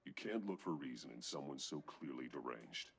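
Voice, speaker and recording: Gravelly, very deep masked vigilante. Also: male voice, expressive, moderate pace, a bit bright, heavily acted. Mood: grim, curt. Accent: American. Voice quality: gravelly, husky growl. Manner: terse, grim, commanding. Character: brooding masked vigilante, terse grown man. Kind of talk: video game voice acting.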